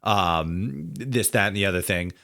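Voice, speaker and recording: A man hosting a casual interview, feeling his way haltingly through roundabout questions. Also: low voice, pushed and bright. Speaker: podcast host, interviewer.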